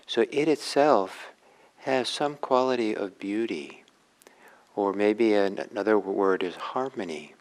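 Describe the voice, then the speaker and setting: A man giving a guided meditation talk as a teacher, reflective and halting, with frequent pauses. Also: fairly low pitch, a little mellow, rough recording. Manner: halting, reflective, pausing often.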